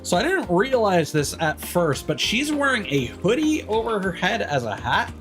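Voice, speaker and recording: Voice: medium pitch, big pitch swings, moderate pace, fairly bright tone, soft background music. A game streamer giving casual live commentary, chatting and thinking aloud while playing.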